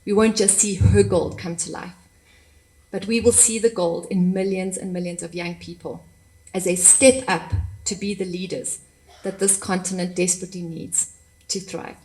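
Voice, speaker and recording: A woman's voice: fairly low pitch, steady tone, moderate pace, darkish tone, a little hiss or hum, poor audio. A woman from Africa giving an impassioned conference talk, sometimes repeating words as she searches for them. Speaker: panel speaker.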